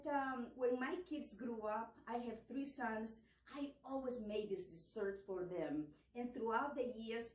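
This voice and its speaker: A woman's voice: a little high, darkish tone, echoey room, recorded from a distance, poor audio. A middle-aged woman from El Salvador, a cookbook author teaching a cooking class with enthusiastic explanations and some fillers. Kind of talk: cooking demonstration.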